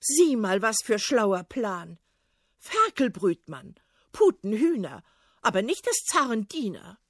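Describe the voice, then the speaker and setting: A woman's voice: a little high, very animated, takes it slowly, no room sound, clean studio sound, exaggerated voice. A woman doing a cheeky young stable boy. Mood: defiant.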